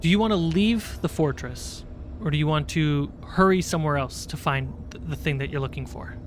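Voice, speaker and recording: A man acting as game master, improvising narration for a tabletop game in short, halting phrases. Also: on the high side, a little hiss or hum. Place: indoor livestream.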